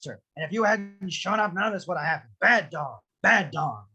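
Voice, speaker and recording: An actor playing a bitter, stubborn old man with a husky voice and curt, scolding manner in an animated film table read. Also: medium pitch, moderate pace, full character voice. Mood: angry, scolding.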